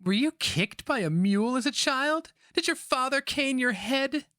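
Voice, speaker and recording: A man's voice: very high voice, animated, a little slow, sharp tone, dry recording, studio quality, voice pushed up, full character voice. A man voicing a mocking, cajoling old witch of a grandmother in a dramatic fantasy story reading.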